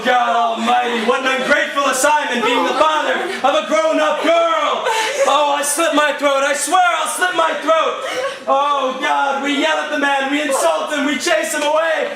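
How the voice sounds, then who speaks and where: An actor playing a blustering, excitable father of a grown daughter, bombastic and exclamatory in stage farce style. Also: very high-pitched. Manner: bombastic, exclamatory, catchphrase-repeating. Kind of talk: farcical stage comedy acting. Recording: distant echoey theatre with audience.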